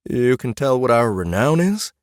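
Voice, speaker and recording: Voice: medium pitch, sing-song, moderate pace, no echo, clean studio sound, pitched above the performer's own voice, lightly acted. Casting: everyday married farmer man performed by a narrator, unsure, jokey, medium register, fantasy audiobook. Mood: puzzled. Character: heavyset ex-farmer husband, hesitant, jokey.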